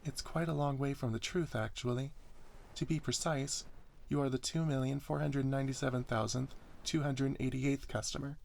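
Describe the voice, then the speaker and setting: A man's voice: mid-range voice, fairly even intonation, moderate pace, vocal fry, darkish tone, voice pushed up. A man voicing a cheerful teenage robot girl working as a planetarium attendant in a story reading, earnest, over-polite and chattering.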